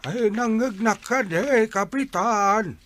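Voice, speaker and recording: A woman's voice: on the high side, slow pace, no echo. A woman playing a hesitant villager who pleads for help, acted for a comedy radio drama. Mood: polite, urgent.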